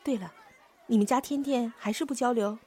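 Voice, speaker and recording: A woman's voice: on the high side, a little fast, darkish tone, breathy edge. An actress playing a grateful, sympathetic mother in a radio drama, speaking in a conversational way. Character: grateful, sympathetic mother of a special-needs child.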